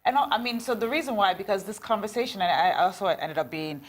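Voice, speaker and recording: A woman hosting a TV morning show, who talks in a lively way and often answers her guest with short agreements. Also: medium pitch, fast talker. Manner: lively, frequent affirmations. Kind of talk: TV interview conversation.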